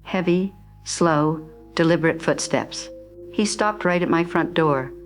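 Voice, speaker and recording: A woman playing a frightened resident in a radio drama, giving an eyewitness account in short, halting phrases. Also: sits low, soft background music. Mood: shaken, fearful. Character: frightened female resident hiding at home.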